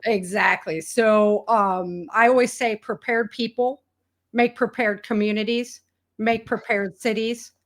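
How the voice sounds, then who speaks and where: A woman's voice: medium pitch, fairly even intonation, measured pace. A woman speaking as an interview guest in a casual, spontaneous conversation, colloquial and emphatic, voicing other people's remarks as she goes. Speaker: interview guest, author and podcaster on survival prepping.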